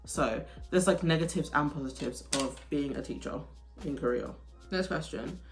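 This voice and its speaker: A woman's voice: low voice, moderate pace, over music, rough recording. A young woman from the UK chatting casually through a get-ready-with-me Q&A, full of "like" and restarts. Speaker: vlogger, teacher in Korea.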